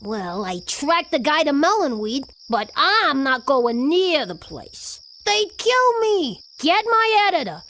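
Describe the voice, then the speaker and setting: A determined news photographer in a video game. Her voice is exceptionally high, urgent and insistent, as if everything hangs on being heard. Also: big pitch swings, takes it slowly, few pauses, a bit bright, exaggerated voice. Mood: pleading. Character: driven, pleading young woman news photographer. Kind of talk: video game cutscene acting.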